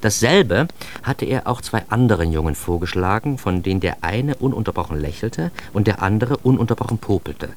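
A man's voice: on the low side, lively intonation, a little fast, hardly any pauses, a little hiss or hum. An actor playing a self-deprecating, bitter grown son who narrates his youth in a confessional radio-play monologue, addressing the listener directly. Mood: storytelling. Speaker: narrator and protagonist of a radio drama.

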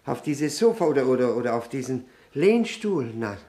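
An actor playing a nervous, self-abasing widower who drinks, stammering and repeating himself in an old radio play. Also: male voice, mid-range voice, very animated, moderate pace, mellow. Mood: flustered, obsequious. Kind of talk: 1960 German radio play acting. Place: radio drama studio.

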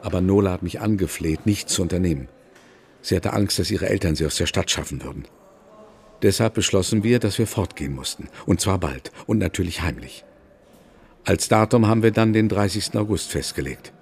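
A man playing an older, haunted novelist who confides in a measured way in a German radio drama. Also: deep voice, a little mellow, husky. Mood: grave, confiding. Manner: measured, confessional storytelling.

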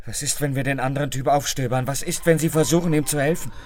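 A man's voice: mid-range voice, quick pace, a little mellow, close to an everyday voice. An actor playing an anxious, hesitant man who is drawn reluctantly into a criminal's scheme in a radio play. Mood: anxious, regretful.

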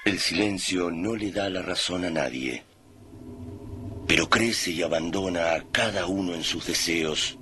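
An actor playing a grave, literary narrator of a doomsday tale in a radio drama, telling it solemnly. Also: male voice, low-pitched, measured pace, sharp tone, faint music behind.

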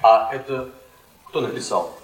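An actor playing a polite, reserved, slightly uneasy young husband as a guest in a radio play. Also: male voice, medium pitch, measured pace, close to an everyday voice. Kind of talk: Russian theatrical radio play acting. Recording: echoey stage, distant, audience noise.

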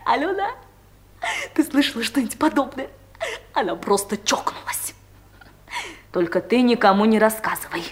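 A woman's voice: a little high, sing-song, normal speed, pauses often, a little hiss or hum. An actress playing a gossipy, mocking female office secretary with a knowing, teasing manner, in a Soviet radio comedy. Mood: gleeful gossip.

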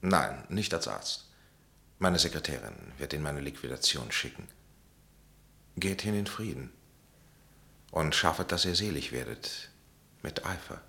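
A very deep, grave psychiatrist. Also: male voice, animated, creaky, sharp tone, exaggerated voice. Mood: solemn. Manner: measured, formal, weighty pronouncements. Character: grave, authoritative male psychiatrist, calm and oracular. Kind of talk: German radio play acting.

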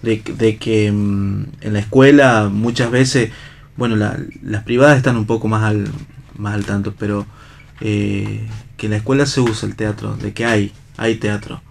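A man with an Argentine accent talking casually as an interview guest, halting as he searches for words. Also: lowish voice, fairly even intonation, gravelly. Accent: Argentine Spanish. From La Rioja, Argentina. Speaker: theatre actor and director, interview guest.

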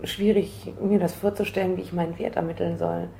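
A woman's voice: on the low side, big pitch swings, brisk, vocal fry, mellow. Hesitant young woman in noisy surroundings. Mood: hesitant, sceptical. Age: 20s. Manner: hesitant, plain-spoken. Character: hesitant unemployed young actress being questioned. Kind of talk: naturalistic radio play dialogue.

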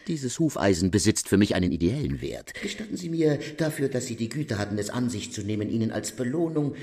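A foreign gentleman in a radio drama with a foreign accent. His mid-pitched voice swings widely, with theatrical, florid manners and menace underneath. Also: very animated, brisk. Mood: florid courtesy. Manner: florid, theatrical, switching to brusque. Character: foreign gentleman, effusively courteous, abruptly menacing.

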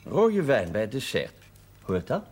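A man's voice: mid-range voice, wildly swooping pitch, a little slow, darkish tone. An actor playing an assertive, dryly amused married businessman and son-in-law in a naturalistic television drama. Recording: faintly noisy 1970s television soundtrack, tableware clinks.

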